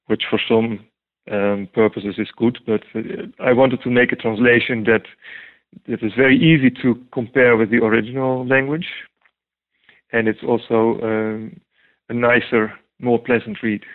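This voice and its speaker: A man's voice: mid-range voice, level tone, normal speed. Dutch-accented man on a tinny line. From Netherlands. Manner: hesitant, many ums and restarts. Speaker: guest translator. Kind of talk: interview answers.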